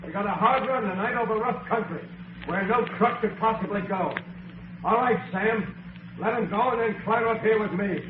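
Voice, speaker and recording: An actor playing a ruthless, commanding gang boss in an old-time radio drama, with brusque delivery. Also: male voice, sits high, moderate pace, natural voice. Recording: muffled, noisy vintage radio recording.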